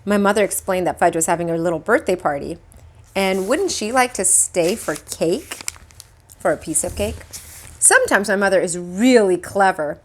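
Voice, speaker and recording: A woman's voice: mid-range voice, normal speed. A woman reading a children's book aloud like a teacher, expressively and acting out the dialogue. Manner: animated, acts out dialogue.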